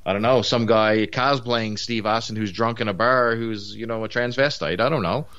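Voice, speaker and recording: Low-voiced man from Newfoundland, muffled. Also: normal speed, a bit bright. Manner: opinionated, rambling, frequent fillers. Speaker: podcast co-host. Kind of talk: casual podcast chat. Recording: muffled lo-fi audio.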